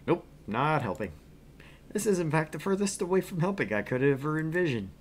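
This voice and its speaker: Animated man narrating a visual novel game. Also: on the high side, a little fast. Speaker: co-host of a gaming stream, reading the game aloud.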